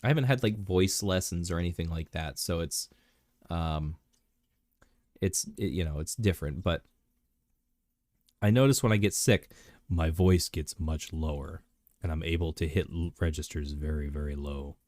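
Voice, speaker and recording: A man streaming live and chatting casually while sick, clearing his throat, rambling with many fillers and restarts. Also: deep voice, normal speed, long pauses, darkish tone, dry recording, studio quality.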